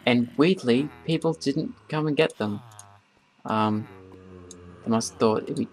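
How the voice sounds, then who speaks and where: A man giving casual, rambling gameplay commentary in a halting way as host of a gaming video. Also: mid-range voice, darkish tone. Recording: noisy, keyboard and mouse clicks.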